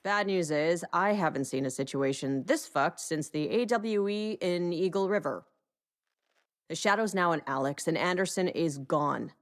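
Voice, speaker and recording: A woman's voice: fairly low pitch, moderate pace, lightly acted. A woman playing a tough, sardonic government agent in a video game, talking in a blunt, dry, no-nonsense way. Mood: stern, sardonic. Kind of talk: dramatic game dialogue.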